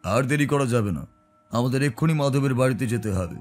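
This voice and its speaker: A man performs a grave tantric holy man telling an eerie first-person tale. His voice is composed and medium-pitched, in dramatic audio storytelling over faint music. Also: a little fast, lightly acted. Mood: serious, urgent.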